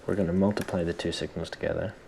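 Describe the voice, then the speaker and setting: A man presenting a casual software tutorial, thinking aloud in an informal, self-deprecating way, with some background noise in the recording. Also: low-pitched, fairly even intonation, fast talker, creaky.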